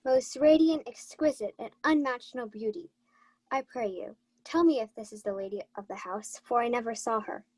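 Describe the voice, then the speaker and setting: A courtly young gentleman messenger in a Shakespeare radio play, acted by a young woman. The voice is fairly high and dry in sound, with ardent, declamatory delivery. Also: normal speed, dry recording, lightly acted, poor audio. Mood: courtly flattery. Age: young adult. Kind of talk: 1940s radio Shakespeare acting.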